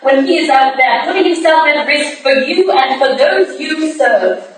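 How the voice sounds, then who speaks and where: A woman's voice: high voice, a little slow. A woman in a theatrical staged reading, playing a poised, firm and shrewd Victorian wife who speaks politely but pointedly. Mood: firm, challenging. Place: roomy hall at a live reading. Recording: echoey room from far off, faint noise.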